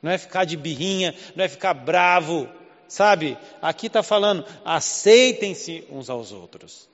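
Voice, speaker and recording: A man's voice: a little high, animated, measured pace, big-room sound, highs cut off. A man from Southeast Brazil with a Southeast Brazilian accent, preaching a conversational sermon full of rhetorical questions. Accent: Southeast Brazilian Portuguese. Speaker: pastor. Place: church hall.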